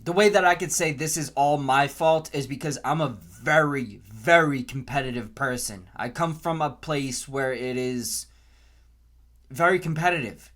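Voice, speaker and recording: A man in his twenties with an American accent, giving an earnest, unscripted opinion monologue in a deliberate way, repeating phrases for emphasis. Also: medium pitch, fairly bright tone. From Canton, Massachusetts, US. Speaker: podcast host.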